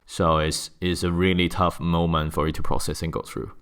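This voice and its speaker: A man's voice: deep voice, level tone, moderate pace, a bit bright, clear, resonant tone. A man in his thirties from Hong Kong with a Hong Kong accent, answering interview questions thoughtfully and restarting his sentences often. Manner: thoughtful, frequent restarts and fillers. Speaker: guest. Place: office.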